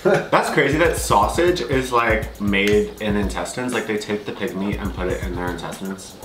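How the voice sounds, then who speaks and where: A man's voice: lowish voice, normal speed. Young man, vlog host, playful chat, echoey kitchen, music behind. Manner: playful, giggly banter. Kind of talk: casual cooking chat. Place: home kitchen, indoors. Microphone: camera mic, medium distance.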